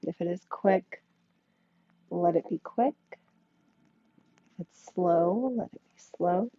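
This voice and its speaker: A woman leading a yoga class with slow, soothing guided instruction, speaking in short phrases with long pauses. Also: fairly low pitch. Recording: thin, phone-like band-limited sound, dry.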